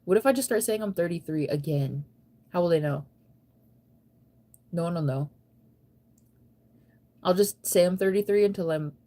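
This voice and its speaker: A woman's voice: fairly low pitch, warm tone. An American woman in her thirties chatting casually on a livestream, rambling and conversational with a playful, self-teasing manner. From United States. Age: 30s. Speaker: live streamer. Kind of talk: casual late-night livestream chat, reading and answering viewer comments.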